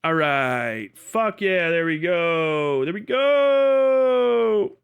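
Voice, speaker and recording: A man with a somewhat high-pitched voice talks casually as a livestream host. He is blunt and foul-mouthed, rambling through commentary and often muttering to himself as he goes. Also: lively intonation, drawn-out words, gravelly, smooth, phone-quality sound. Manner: profane, blunt; rambling, muttering asides to self.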